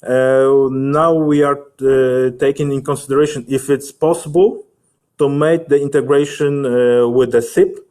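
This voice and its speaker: A man presenting a technical webinar and answering questions in halting, hesitant English, often searching for words. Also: medium pitch, steady tone, a little mellow.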